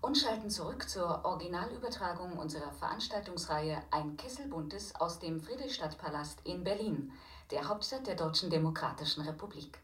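A woman's voice: fairly low pitch, lively intonation, moderate pace, a bit bright. A woman playing a formal East German television announcer, making a broadcast announcement that sounds echoey and distant.

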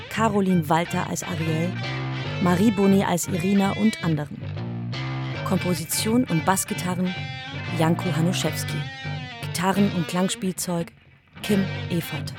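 A woman reading scripted credits as the announcer for an audio drama. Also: on the low side. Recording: loud guitar music behind voice.

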